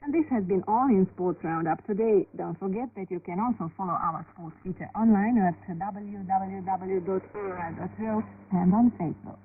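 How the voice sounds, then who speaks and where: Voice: medium pitch, moderate pace, hardly any pauses. A sports news presenter reading a scripted bulletin, heard over a shortwave radio broadcast. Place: radio studio. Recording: noisy, narrow-band shortwave radio reception.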